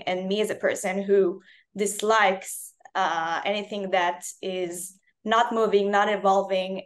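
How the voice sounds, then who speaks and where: Woman, English, earnest, interview guest. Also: mid-range voice. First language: Russian. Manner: earnest, slightly non-native phrasing. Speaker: cybersecurity analyst, guest. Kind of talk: casual interview talk.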